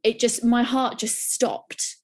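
A woman's voice: a little high, flat delivery, slow pace, a little mellow. A young woman, co-hosting a podcast, talking enthusiastically and rambling with restarts. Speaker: podcast co-host. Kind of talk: casual chat.

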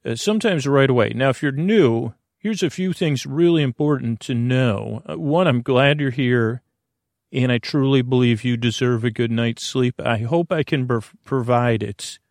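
A man with a creaky voice hosting a sleep podcast, meandering through halting, self-deprecating tangents. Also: medium pitch, a little mellow, no echo, studio quality. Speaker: podcast host. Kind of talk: rambling bedtime sleep podcast monologue.

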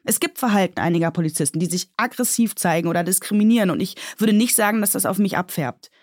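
An actress playing an earnest, self-critical policewoman being interviewed, speaking haltingly as she searches for words. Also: medium pitch, a little mellow, no room sound, studio quality, close to an everyday voice. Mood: earnest, defensive. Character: earnest, self-critical female police officer under questioning. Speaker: police officer, interview guest. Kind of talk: acted interview in a scripted audio drama.

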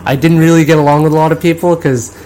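A young man of twenty-one from Canada talks as a podcast guest, sitting back and telling his life story. His voice sits a little high for a man, and he keeps circling back with fillers and false starts. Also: fairly even intonation, fast, warm tone, a little hiss or hum. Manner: rambling, filler-heavy storytelling with many restarts. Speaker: guest wrestler. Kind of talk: casual interview chat.

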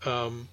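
A man co-hosting a podcast in casual conversation, haltingly repeating words as he searches for his phrasing. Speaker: podcast co-host. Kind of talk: casual chat reviewing audio dramas. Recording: dull, lo-fi voice recording.

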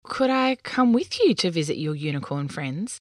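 A woman voicing a kind, curious little girl in a storybook, speaking gently. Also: low-pitched, sing-song, moderate pace, no room sound, studio quality. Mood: hopeful.

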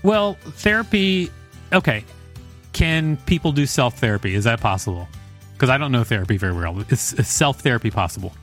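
A man with a mid-pitched voice speaks as a podcast co-host. His delivery is conversational and wry, moving between read-aloud text and casual banter. Music plays behind him. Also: expressive, fairly quick, fairly bright tone. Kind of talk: reading an encyclopedia article aloud with casual banter.

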